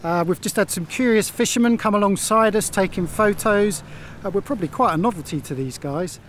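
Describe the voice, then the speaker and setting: A man's voice: sits high, fairly quick, slight background noise. A man in his fifties chatting casually to camera outdoors on a sailboat deck, wearing a clip-on mic. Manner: relaxed, chatty commentary. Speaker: sailing vlogger. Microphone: small clip-on mic.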